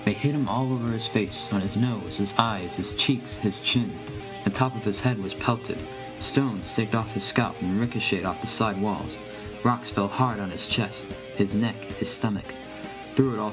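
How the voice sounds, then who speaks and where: A man's voice: on the low side, rough recording. A man reading a scripted first-person horror story as its narrator.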